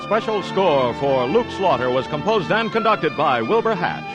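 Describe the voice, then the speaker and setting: A man's voice: a little high, sing-song, normal speed, dull audio. A man working as a radio announcer, reading credits in a formal, declamatory 1950s network style. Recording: old radio recording, music bed.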